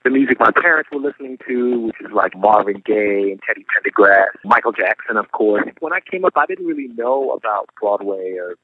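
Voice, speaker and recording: A man's voice: lowish voice, lively intonation, dry recording, phone-quality sound. A man in his thirties from New York, raised in Philadelphia, answering interview questions as a guest actor in a thoughtful, storytelling way. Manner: thoughtful, unhurried storytelling with small restarts.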